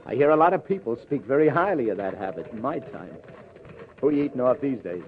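An actor playing a middle-aged, wry and world-weary New police detective in a 1950s hard-boiled radio drama. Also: male voice, mid-range voice, lively intonation, fast talker, lightly acted. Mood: amused, wry. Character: seasoned, wry New York police detective, former boxer. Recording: muffled old radio broadcast, music behind.

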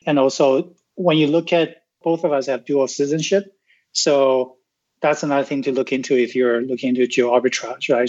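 A man's voice: medium pitch, darkish tone. A man from Taiwan talks through his ideas as a guest, checking in with "right?" and restarting phrases as he goes. His voice sits in an ordinary middle register. The audio is dull and muffled. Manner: explanatory, frequent "right?" tags and restarts. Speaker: podcast guest. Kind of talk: casual interview talk.

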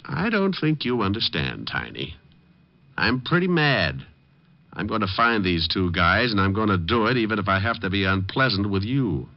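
Low-voiced wisecracking private eye. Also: male voice, sing-song, moderate pace, no echo. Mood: menacing. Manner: sardonic, dry wisecracks. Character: suave, quick-witted, wisecracking tough male private detective. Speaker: lead actor and first-person narrator. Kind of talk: 1950s radio drama acting. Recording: dull old radio recording.

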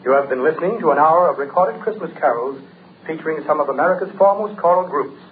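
Male actor as a fast, formal in-story station announcer, tinny old broadcast. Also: a little high, lively intonation, a little hiss or hum, close to an everyday voice. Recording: tinny old radio, slightly distant.

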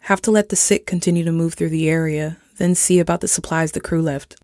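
Actress as sober, lower-voiced woman camp official. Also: steady tone, fairly quick, darkish tone, dry recording, studio quality, close to an everyday voice. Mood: resigned, firm. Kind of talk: naturalistic audio drama acting.

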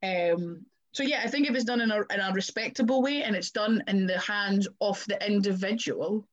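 A woman's voice: mid-range voice, normal speed, lo-fi sound. A Scottish woman and wrestler, chatting casually and acting out little quoted exchanges. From Scotland. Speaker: wrestler and podcast guest. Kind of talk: casual chat.

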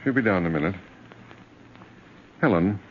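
A man's voice: sits low, expressive, normal speed. An actor playing a patient, doting father and soothing husband in an old radio drama. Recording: dull vintage radio recording, faint noise.

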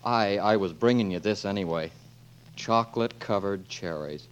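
An actor playing a bashful, naive young suitor, hesitant and boyish, in a 1940s radio comedy. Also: male voice, fairly low pitch, moderate pace, clear, resonant tone, close to an everyday voice, poor audio. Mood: earnest, bashful. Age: young adult. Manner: hesitant, boyish, gee-whiz.

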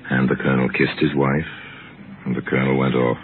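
Thin old radio sound, music behind, extremely deep male narrator, wry, confiding, deliberate mystery storytelling. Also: level tone, phone-quality sound, very noisy. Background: dramatic music.

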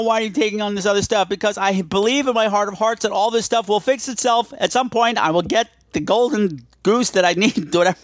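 Higher-voiced man hosting a podcast, dry sound. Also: no echo. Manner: rambling, self-deprecating, emphatic repetition. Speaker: podcast host. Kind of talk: casual, confessional solo podcast monologue.

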